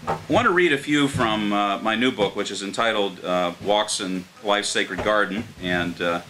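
An older American man reading his poetry live at a microphone, in a measured, earnest way. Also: on the low side, over music, rough recording. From Martins Ferry, Ohio, United States. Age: older, around 60. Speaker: poet reading his own work. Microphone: microphone on a stand. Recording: clear background hiss.

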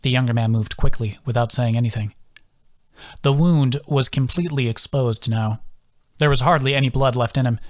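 A man's voice: mid-range voice, moderate pace. A man reading scripted fantasy fiction as an audiobook narrator. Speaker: audiobook narrator, author reading own book.

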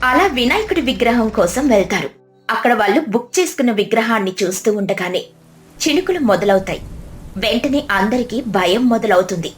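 A woman's voice: fairly high pitch, animated, a little fast. A woman narrating a scripted children's moral story as a storyteller. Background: music.

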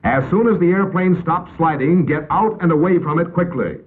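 An American man narrating a 1950s instructional film in a crisp, authoritative, instructional manner. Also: on the high side, moderate pace. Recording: tinny, noisy 1950s film soundtrack.

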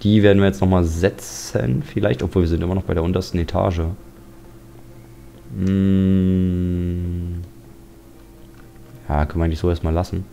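A man's voice: sits low, steady tone, normal speed, gravelly, pauses often, dark tone, slight background noise. A man giving casual, joking commentary while playing a building game, grumbling at monsters along the way. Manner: chatty, joking, grumbles at mobs. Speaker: Let's Play commentator. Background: game music.

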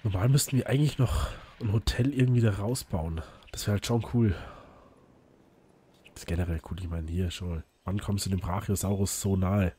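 A man who is a bit hoarse from illness, chatting casually and rambling as he hosts a let's play, with lots of fillers and restarts. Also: sits low, moderate pace, mellow, slight background noise. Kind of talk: casual gameplay commentary.

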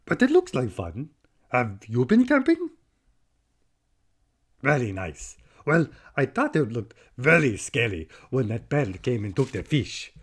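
A man voicing a raspy, excitable furry cartoon monster. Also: mid-range voice. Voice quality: raspy, gravelly. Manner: enthusiastic, addressing children directly. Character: friendly excitable furry monster host for kids. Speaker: children's story-time host. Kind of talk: scripted children's show hosting.

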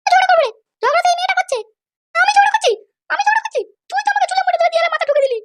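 A performer voicing a shrill, defiant young wife who screeches accusations in a comedy cartoon. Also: rapid-fire, fairly bright tone. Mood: indignant.

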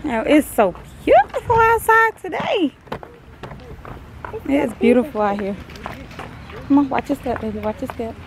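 Hoarse high-voiced woman vlogger. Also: big pitch swings, unhurried, long pauses, noisy background. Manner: upbeat, playful, motherly with her kids. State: hoarse from a cold. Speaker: vlog host. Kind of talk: casual chat to camera.

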